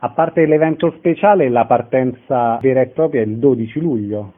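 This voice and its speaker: A man's voice: medium pitch, moderate pace, phone-quality sound. A man hosting a radio interview, asking questions and summing up details. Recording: thin, band-limited radio sound.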